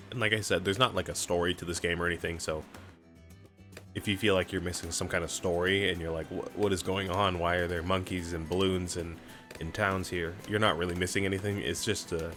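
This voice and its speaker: Low-voiced man explaining a game. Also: a little fast, faint music behind. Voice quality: raspy, clears throat often. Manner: relaxed, explanatory, reads on-screen prompts aloud. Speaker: gaming streamer. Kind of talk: casual let's-play commentary.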